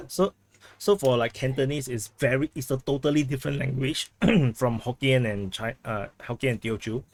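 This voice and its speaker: A man in casual group chat on a low-quality backup recording, talking haltingly with many restarts while he explains things through comparisons. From Southeast Asia. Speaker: chat participant. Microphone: video call.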